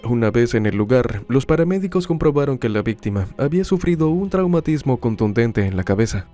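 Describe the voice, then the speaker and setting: A man delivering scripted true crime narration in a measured, dramatic storytelling manner. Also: mid-range voice, expressive, mellow, faint music behind.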